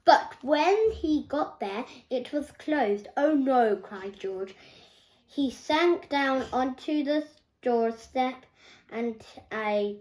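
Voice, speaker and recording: A young girl with a high voice reads a picture book aloud. Her pace is extremely slow and careful, and she halts over words, repeats them and corrects herself as she goes. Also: low-quality recording. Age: child. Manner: halting, careful reading with stumbles and self-corrections. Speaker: young reader.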